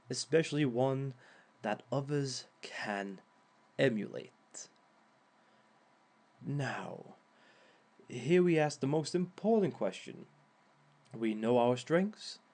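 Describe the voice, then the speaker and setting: Guy doing a smug villain teaching a dating class, slow and drawn out, voice swoops up and down. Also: mid-range voice, darkish tone, full character voice. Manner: theatrical, sly, chuckling asides. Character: smug male villain giving a dating seminar. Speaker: villain relationship lecturer. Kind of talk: audio roleplay monologue.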